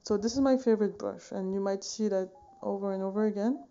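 A woman in her thirties from Switzerland talks through her painting process at home. Her voice is very dark and mellow. She rambles in a relaxed way, full of fillers and self-corrections, recorded on a phone camera at desk distance. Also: medium pitch, fast talker, lo-fi sound. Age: 30s. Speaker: painting vlogger. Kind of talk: casual tutorial chat. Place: home studio room, indoors, wooden shelves.

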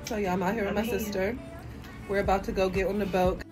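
A woman's voice: medium pitch, steady tone, fast talker. A young woman from Washington, D.C. chatting casually to her viewers as she vlogs her weekend, filmed on a phone held at arm's length. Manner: chatty, laughing, talks straight to viewers. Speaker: vlogger. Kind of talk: casual vlog chat. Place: outdoors on a busy city waterfront. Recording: loud music and crowd behind.

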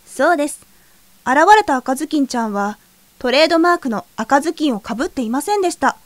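High-voiced woman narrating a fairy tale. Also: animated, fast talker, no echo. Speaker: storyteller narrator. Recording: music behind the voice.

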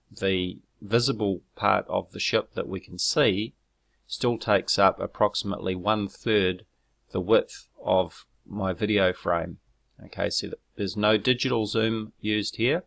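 A man's voice: fairly low pitch, creaky, fairly bright tone. A man narrating over his own footage, explaining step by step with frequent ums and restarts, turning argumentative when rebutting critics. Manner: measured and explanatory, with frequent ums and self-corrections. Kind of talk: narrated screen walkthrough.

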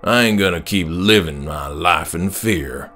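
Man acting a low, stern, devout father. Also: a little slow, a bit bright, faint music behind. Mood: calm conviction. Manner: folksy, stern, preachy. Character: stubborn devout middle-aged father, defiant and certain.

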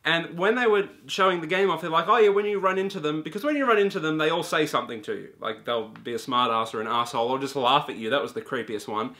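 Bright-voiced young man reviewing a game. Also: on the high side, quick pace, hardly any pauses. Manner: animated, gesturing, many ums and casual swearing. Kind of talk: casual spoken review to camera. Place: small indoor room with a brick wall and shelves.

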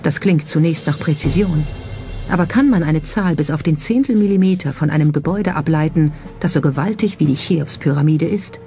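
Mellow documentary narrator over soft music. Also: medium pitch, fairly quick. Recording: music under the voice. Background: background music.

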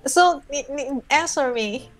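A young woman from China, friendly and encouraging, speaking halting English over a video call from a small bright room. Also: high-pitched, faint music behind. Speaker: stranger on a video chat. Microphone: earphone mic on a video call.

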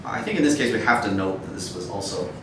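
Male academic, deep voice, measured and hesitant lecture delivery, recorded from afar in a reverberant room. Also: lively intonation. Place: dim wood-shelved seminar room with audience, indoors. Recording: echoey room, distant mic, noisy rumble.

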